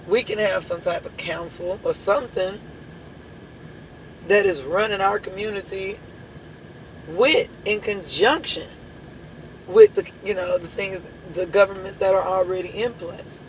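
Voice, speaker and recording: A hoarse woman, a church leader giving an impassioned address full of repeated rhetorical questions. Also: medium pitch, unhurried, pauses often, slight background noise, poor audio.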